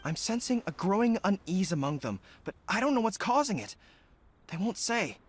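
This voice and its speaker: A woman voicing a calm, caring young leader of an island people, speaking in a gentle and measured way. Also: medium pitch, a little hiss or hum, lightly acted. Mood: concerned.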